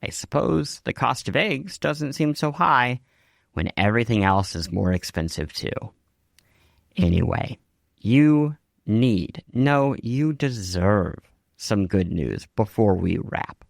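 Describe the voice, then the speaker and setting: A man's voice: fairly low pitch, expressive, slow pace, gravelly, no echo, studio quality. A man hosting a podcast, reading scripted news and show notes in a wry, conversational way. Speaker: podcast host. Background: music behind the voice.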